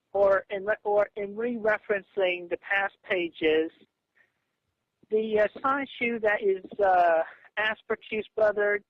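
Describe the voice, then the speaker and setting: A man arguing on a phone call in a halting, stammering way, often repeating himself. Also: sits high, dry recording. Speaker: comic creator on a phone call. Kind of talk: argumentative phone conversation. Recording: muffled lo-fi phone call audio.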